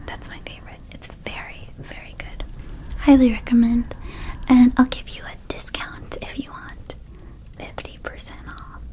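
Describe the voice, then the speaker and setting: Friendly, scatterbrained female vampire shopkeeper acted by a woman, ASMR roleplay; soft mellow whisper, slow and hesitant. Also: fairly high pitch, level tone, natural voice, low-quality recording. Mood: soothing, enthusiastic. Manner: slow, hesitant, casual, confiding. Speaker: ASMR performer.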